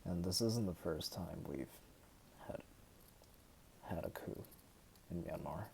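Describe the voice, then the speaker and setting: A young man in his twenties from the United States, talking hesitantly and softly to the camera at home late at night. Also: sits low, creaky, slight background noise. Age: 20s. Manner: hesitant, halting and soft-spoken. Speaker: vlogger. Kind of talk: personal talk to camera.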